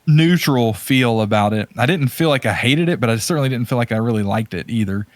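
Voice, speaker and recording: A man's voice: mid-range voice, lively intonation, brisk, no room sound. A man co-hosting a podcast, chatting casually about films in a hesitant, rambling way and often restating himself. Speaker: podcast co-host. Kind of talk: casual chat about movies and games.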